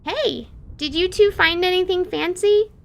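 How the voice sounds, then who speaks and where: A woman's voice: very high voice, a little slow, vocal fry, pitched above the performer's own voice, heavily acted. A woman voicing an eager, childlike young female adventurer in an audio drama, with breezy, naive, casual phrasing. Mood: eager, loud.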